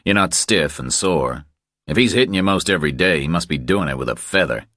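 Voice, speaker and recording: Audiobook role: big tough skeptical drifter read by a man, extremely low voice, blunt, clipped, flat. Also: a little fast, no room sound, clean studio sound. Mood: skeptical, matter-of-fact.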